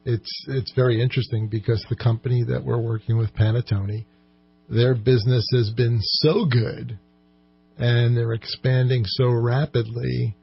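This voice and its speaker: A man answering as a guest in an informal radio interview, explaining things in a measured, halting way. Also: mid-range voice, fairly even intonation, creaky, husky, dull audio. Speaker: economic development corporation president, interview guest.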